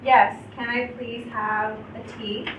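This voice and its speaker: A woman's voice: fairly high pitch, flat delivery, takes it slowly, dull audio. A woman teacher politely playing a restaurant customer for a lesson. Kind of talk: role-play as customer. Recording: echoey room, distant mic, noise.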